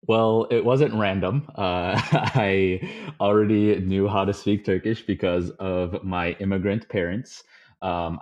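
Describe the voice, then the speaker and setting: Low-voiced young man, relaxed guest. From suburbs of Chicago, USA. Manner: relaxed, rambling, many fillers, chuckles often. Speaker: podcast guest. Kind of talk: casual interview conversation. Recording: slightly dull lo-fi audio.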